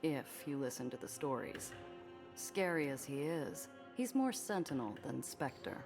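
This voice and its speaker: A woman's voice: very deep voice, big pitch swings, measured pace, over music, exaggerated voice. An actress playing a tough, world-weary smuggler woman in a 1930s noir game, wry and clipped. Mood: cool, watchful.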